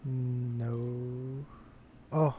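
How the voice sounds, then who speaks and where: Brief: male streamer, very slow and mellow, quietly muttering through gameplay. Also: mid-range voice, little pitch movement, a little hiss or hum. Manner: mutters to himself while playing. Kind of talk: casual gameplay commentary.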